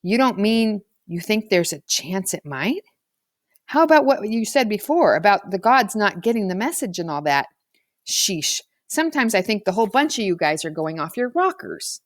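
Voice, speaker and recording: A woman voicing a reluctant, blunt, sporty boy in a storybook, with gruff, skeptical slang. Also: medium pitch, very animated, moderate pace, dry recording, studio quality, close to an everyday voice. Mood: worried, skeptical. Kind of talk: children's storybook character reading.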